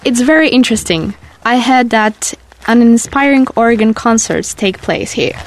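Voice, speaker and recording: A woman's voice: fairly high pitch, lively intonation, normal speed, no room sound. A woman playing a curious, appreciative tourist in a scripted audio drama, polite and a little stilted in delivery. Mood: curious, admiring. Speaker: tourist asking questions. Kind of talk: scripted audio guide dialogue.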